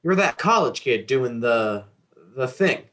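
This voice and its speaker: A man playing a protective, confrontational army veteran father in his thirties, blunt and forceful in a dramatic reading. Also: mid-range voice, sing-song, measured pace.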